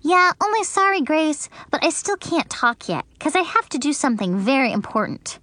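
A woman voicing an excitable, bossy first-grade girl who chatters in an eager, earnest way for an audiobook. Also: sits high, normal speed, no echo, pitched above the performer's own voice. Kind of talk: audiobook character voice.

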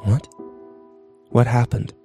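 A man's voice: mid-range voice, flat delivery, slow pace, gravelly, warm tone. A man playing a teenage sheriff's son in a dramatic story, bitter, anguished and intense. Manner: intense, accusing. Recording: music behind.

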